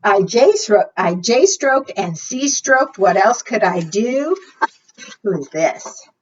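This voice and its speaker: A woman doing an expressive storytime read-aloud for preschoolers, often putting questions to her young listeners. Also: mid-range voice, slow, a little hiss or hum. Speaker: children's storytime librarian. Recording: distant, dull lo-fi microphone sound.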